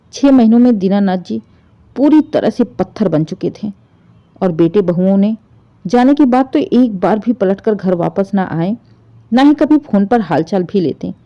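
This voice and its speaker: A woman's voice: mid-range voice, muffled recording. A woman narrating an emotional family story in a storyteller's style.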